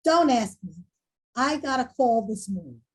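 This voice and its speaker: An exasperated female school principal, acted by a woman at a table read. Her voice sits high and swings widely, with a rough husky edge. She sounds forceful and defensive. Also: very animated, a little slow, heavily acted. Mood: resigned, uneasy. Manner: forceful, indignant questioning. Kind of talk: screenplay table read acting.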